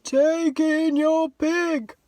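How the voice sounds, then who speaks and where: A woman voicing a wise old man from a storybook, giving calm, emphatic instructions in drawn-out words. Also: high voice, dry recording, pitched above the performer's own voice. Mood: slow, commanding. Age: older. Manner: slow, emphatic, drawn-out commands.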